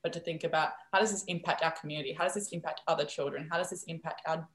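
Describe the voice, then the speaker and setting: A young woman from the Netherlands, a native Dutch speaker, talking as a guest in a podcast interview, animated and filler-heavy. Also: sits low, echoey room. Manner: animated, quick, frequent fillers and laughs.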